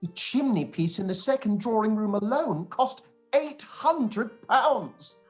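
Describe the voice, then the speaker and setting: A man's voice: sits high, lively intonation, slow. A man acting a pompous, fawning clergyman in a period radio play, speaking formally, with music behind. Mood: pompous pride. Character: pompous, fawning clergyman cousin, boastful of his patroness.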